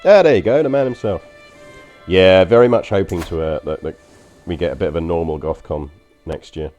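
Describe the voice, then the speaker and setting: A man with a London accent, deep-voiced, muses aloud to his stream chat while playing a strategy game. His tone is mellow and unhurried, and his sentences wander with ums and uhs. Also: soft background music. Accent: London English. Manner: rambling and chatty, frequent ums and uhs. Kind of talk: casual gameplay commentary to stream chat.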